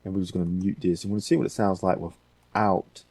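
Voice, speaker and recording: A man's voice: sits low, steady tone, brisk, mellow, husky, no room sound. A man hosting a casual audio editing tutorial, thinking aloud in a folksy, rambling way.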